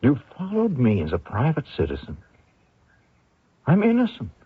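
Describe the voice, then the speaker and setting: An actor playing a haunted American fugitive, grave, earnest and defensive, in an old radio drama. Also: male voice, medium pitch, huge pitch swings, moderate pace, breathy edge, dry recording, phone-quality sound, exaggerated voice. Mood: pleading. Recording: thin dry old radio recording.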